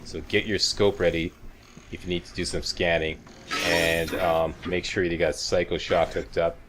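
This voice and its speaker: A man's voice: sits low, level tone, moderate pace, over music. A man giving casual, folksy video game walkthrough commentary with wisecracking asides.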